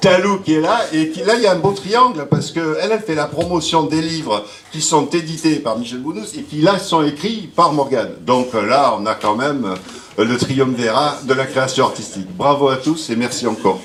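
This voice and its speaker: A man's voice: on the high side, a little fast, a bit bright, a little hiss or hum. Rambling man speaking in a roomy hall. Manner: rambling, hesitant, with jokes and praise. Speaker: speaker at a book launch. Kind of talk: improvised speech to an audience. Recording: roomy hall with faint ambience.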